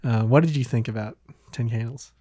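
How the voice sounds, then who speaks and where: A man's voice: mid-range voice, fairly even intonation, brisk, mellow. A man hosting a podcast in casual conversation, hesitant and meandering, often trailing off between thoughts. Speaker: podcast host. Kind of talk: casual chat.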